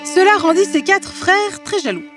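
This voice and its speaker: A woman tells a scripted fairy tale in a high-pitched voice. Her intonation swings widely and animatedly. Music plays clearly behind the narration. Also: animated, normal speed.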